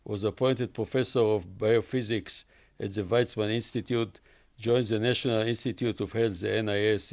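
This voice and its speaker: A man's voice: fairly low pitch, darkish tone. An older man from Israel hosting a studio interview, formally and effusively introducing his guest in simple English. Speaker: interview host.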